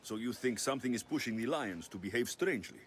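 An actor with a Greek accent playing a confident, wry ancient Greek mercenary in a video game, dry and measured. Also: male voice, mid-range voice, animated, a little hiss or hum, close to an everyday voice. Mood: wry, curious.

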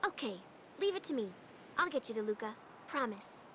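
A chirpy young guide. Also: female voice, fairly high pitch, very animated, moderate pace, bright, forceful tone, a little hiss or hum. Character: cheerful young woman guide.

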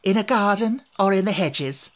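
Dithering, childlike storybook queen, voiced by a man, earnest and muddled, lifted pitch. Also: lively intonation, moderate pace.

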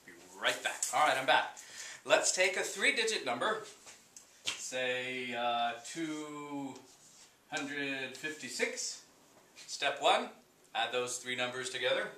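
A man in his forties gives a math lesson at a chalkboard, unhurried and full of wonder. His voice is bright and forward, picked up by a camera some distance away in a roomy, echoing space. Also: mid-range voice, animated, slight background noise. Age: 40s. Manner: slow, unhurried, wondering, with fillers. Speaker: teacher. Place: room with a chalkboard, indoors. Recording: echoey room, voice from a distance.

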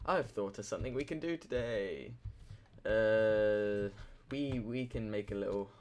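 A man's voice: lowish voice, unhurried, a little mellow. A young man just woken up, rambling casually and drowsily as he comments on his gameplay. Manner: rambling, drowsy, self-mocking. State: just woken up, deep morning voice.

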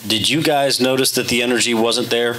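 A man's voice: medium pitch, fairly even intonation, a little fast, sharp tone, a little hiss or hum, low-quality recording. A man as a sports reporter asking short, direct questions at a press conference.

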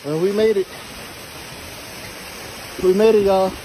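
A man's voice: high voice, dark tone. A man chatting casually to viewers as he films a hike, with a playful, exclamatory manner and folksy turns of phrase. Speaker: vlogger filming a hike. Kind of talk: casual trail vlog chat addressed to viewers. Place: outdoors on a canyon trail by a stream. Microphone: handheld phone camera. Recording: noisy outdoor phone audio, rushing stream.

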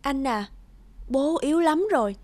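Soft-spoken, worried young wife. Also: female voice, sits high, animated, measured pace. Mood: worried, pleading. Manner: soft-spoken, tentative, pleading. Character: gentle, worried, hesitant young wife and mother. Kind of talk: cartoon drama acting.

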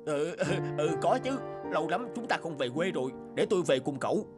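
A man voicing the male characters in a dubbed cartoon folk tale, with animated, emotional delivery. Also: a little high, fairly quick. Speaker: voice actor doing all male parts. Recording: music bed under voice.

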